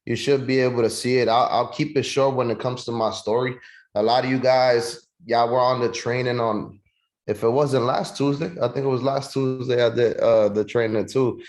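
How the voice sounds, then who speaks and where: A man's voice: mid-range voice, steady tone, normal speed, a little mellow. A man from Puerto Rico, giving a casual, slangy sales training over a video call. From Puerto Rico, raised in New York City. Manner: casual and slangy, calls listeners "man" and "bro". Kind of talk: online sales training talk.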